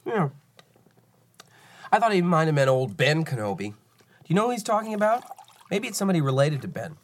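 A man's voice: fairly high pitch, very animated, a little fast. An actor playing a curious, dreamy young farm boy in a radio drama, with an earnest, wondering manner. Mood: eager, questioning. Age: young adult.

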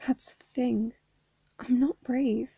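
A woman with a hoarse voice reading the lines of an anxious teenage boy who is facing a court trial, quiet and shaky. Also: a little high, steady tone, slow, no room sound, highs cut off, pitched above the performer's own voice, full character voice.

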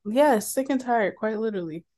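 Woman, podcast co-host, has a cold, lively chatty delivery, laughs a lot. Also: fairly high pitch, moderate pace. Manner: animated, laughs often. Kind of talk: casual chat.

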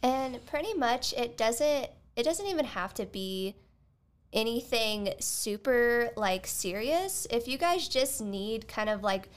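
Higher-voiced woman chatting on a podcast. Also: expressive, slow. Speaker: podcast co-host. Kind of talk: casual advice podcast chat.

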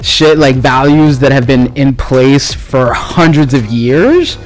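A man of Cuban heritage in casual conversation, talking animatedly with quick asides and sudden jumps up in pitch when quoting others. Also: soft background music, poor audio. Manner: animated, fast asides, voice jumps up for quoted remarks. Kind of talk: casual chat.

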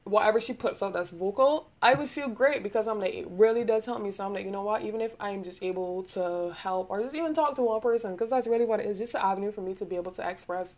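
A young woman with a Barbadian accent talking quickly and rambling, hosting a casual solo podcast and laughing often. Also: mid-range voice, a little mellow. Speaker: podcast host.

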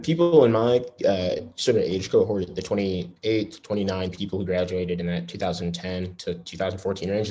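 A young man talks quickly in a lowish voice, rambling and restarting as he reflects in a casual interview. His delivery is earnest and loose. He piles clauses together rather than speaking in polished sentences. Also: darkish tone. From New York. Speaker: interviewee, school alumnus.

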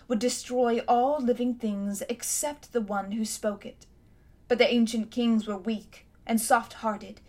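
Woman doing storybook tyrant queen, imperious, loud, haughty, a little higher. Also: natural voice. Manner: haughty, commanding, grand.

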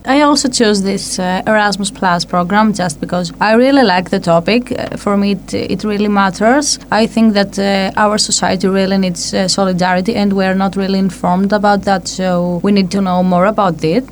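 A woman from Greece, a guest on a casual radio chat, speaking in hesitant English and letting her sentences trail off softly. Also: mid-range voice, steady tone, normal speed, hardly any pauses, slight background noise.

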